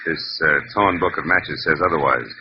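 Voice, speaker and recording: An actor playing a wry, tough, world-weary private detective in 1940s radio drama, sardonic and wisecracking in clipped lines. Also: male voice, extremely low pitch, moderate pace, background noise. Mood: probing. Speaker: lead actor and narrator. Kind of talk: 1940s hardboiled radio narration and acting. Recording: muffled vintage radio recording.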